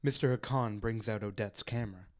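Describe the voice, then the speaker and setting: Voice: mid-range voice, brisk. A narrator reading stage directions for a radio play in a scripted style.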